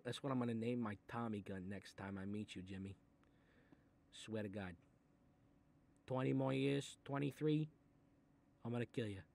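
Guy doing an italian-american mobster boss, grumpy and sarcastic, muttering threats while reading a kids book, comedy bit. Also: medium pitch. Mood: menacing, grudging. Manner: gruff, threatening, muttering asides, exasperated. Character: short-tempered, sarcastic Italian mob boss babysitting a kid. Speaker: mob boss character reading a picture book aloud. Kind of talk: comic improvised character monologue.

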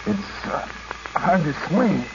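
An actor playing a hesitant, crestfallen treasure diver who speaks haltingly in a 1940s radio drama. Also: male voice, high voice, animated, vocal fry, full character voice. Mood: hesitant, dejected. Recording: muffled, noisy old radio recording.

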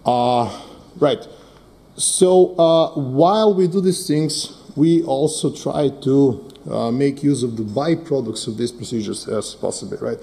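Middle-aged non-native man, dark gravelly voice, conference presenter at lectern, peppers talk with "right?" and "uh". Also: mid-range voice, unhurried. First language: Serbo-Croatian. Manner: frequent fillers and "right?" tag questions. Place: conference hall stage. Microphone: lectern microphone.

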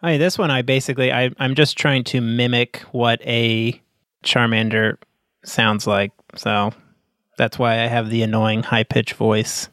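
A man's voice: mid-range voice, fairly even intonation, a little slow, a bit bright, no room sound, clean studio sound. Chatty joking male player. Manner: chatty, jokey, laughs often. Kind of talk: casual chat.

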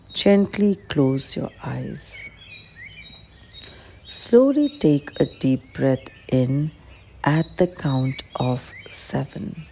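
A woman leading a guided meditation as an instructor, speaking in a calm, gentle, measured manner. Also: low-pitched. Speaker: clinical psychologist guiding a meditation. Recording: faint background noise with birdsong.

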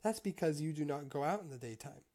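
A sly she-cat from a storybook, put on by a narrator, greedy and pleased with herself. She speaks with cool, dismissive smugness at a middling pitch. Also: expressive, fast, mellow. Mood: sly, coaxing. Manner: cool, dismissive, self-satisfied.

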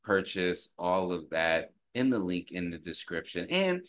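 A man's voice: low voice, big pitch swings, unhurried, fairly bright tone. A man in his thirties giving a casual, slangy music video reaction, full of short repeated exclamations, recorded at home on a desk mic. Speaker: rapper and reaction video host. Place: small room at home, indoors. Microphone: desktop microphone close by, headphones on. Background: pop music from the video.

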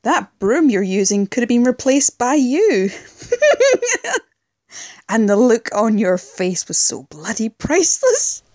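A woman's voice: a little high, sing-song, takes it slowly, warm tone, no echo, clean studio sound. Woman as a cocky, taunting female rogue. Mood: mocking. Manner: taunting, sassy, self-assured. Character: cocky, mocking, menacing female rogue troublemaker. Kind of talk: fan audio drama acting.

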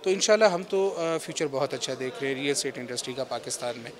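A man's voice: mid-range voice, animated, fast talker. A man in his thirties with a Pakistani accent, giving an unscripted news interview as a company representative. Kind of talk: spontaneous on-camera news interview. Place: indoor expo hall. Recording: busy indoor hall crowd noise. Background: crowd chatter.

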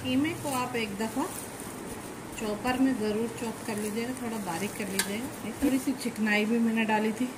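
A woman with a fairly high voice explains a home recipe step by step in a calm, instructive way, repeating key points as she works. Her voice is recorded in an echoey kitchen. Clear background noise runs underneath. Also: moderate pace. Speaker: cooking channel host. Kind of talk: home cooking demonstration.

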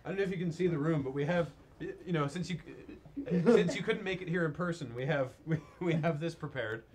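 A man hosts a live charity stream, talking with a guest in a quick, friendly way. He restarts sentences and laughs often. His voice sits a bit high, with a faint hiss in the background. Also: darkish tone. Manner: chatty, stammering restarts, laughs easily. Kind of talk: casual chat. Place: crowded studio room, indoors.

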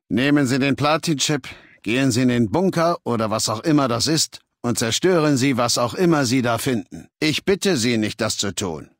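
An actor playing a cold, arrogant warlord dictator in a video game, speaking with condescending, menacing authority. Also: male voice, mid-range voice, dry recording, natural voice. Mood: cold, threatening. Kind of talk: video game dialogue acting. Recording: dry studio recording.

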